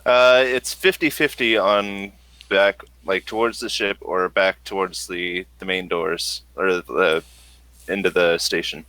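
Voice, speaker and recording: A man's voice: deep voice, steady tone, unhurried, pushed and bright, no echo, low-quality recording. A man acting as game master, narrating and refereeing a tabletop role-playing game.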